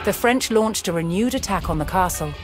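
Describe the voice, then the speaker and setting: A woman reading scripted historical narration for a strategy game, telling the story in a measured, deliberate way. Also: mid-range voice, animated. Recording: studio voice-over with music underneath. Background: music.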